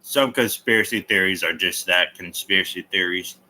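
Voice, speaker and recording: A man's voice: sits low, normal speed, sharp tone. A man chatting casually on a livestream, rambling between topics and answering viewer comments in a blunt, opinionated way. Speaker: livestream host.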